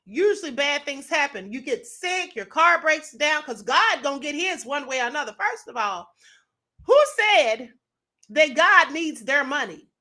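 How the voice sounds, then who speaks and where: Host, woman, sassy video commentator; high, lively, bright voice. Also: measured pace. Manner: sassy, animated, laughs often. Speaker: show host. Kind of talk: commentary reacting to viral videos.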